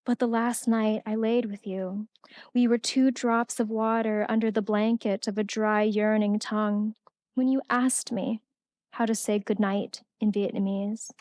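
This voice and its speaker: A woman's voice: medium pitch, little pitch movement, a little slow, darkish tone, no echo. A young woman poet reading her poem aloud and then giving a casual talk with many fillers at a college event.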